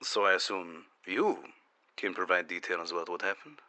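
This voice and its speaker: A man playing a stern, controlled secret agent in an audio drama, questioning a witness in a quiet, terse, probing way. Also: sits low, expressive, normal speed. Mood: formal, firm. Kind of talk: dramatic audio fiction interview.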